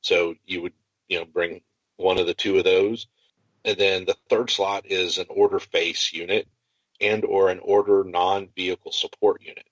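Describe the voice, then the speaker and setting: Male podcast co-host, low voice, enthusiastic gaming explainer, dry muffled recording. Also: fairly even intonation, normal speed, no echo. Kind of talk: casual tabletop gaming chat.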